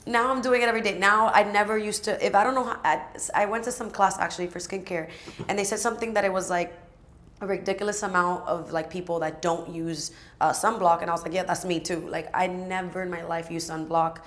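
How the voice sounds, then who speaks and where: A raspy-voiced woman in her twenties, chatting casually as a guest and filling her talk with "like". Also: on the low side, fairly even intonation, brisk, fairly bright tone. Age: 20s. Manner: chatty, many fillers like "like". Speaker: guest, aspiring singer and rapper. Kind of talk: casual sit-down chat.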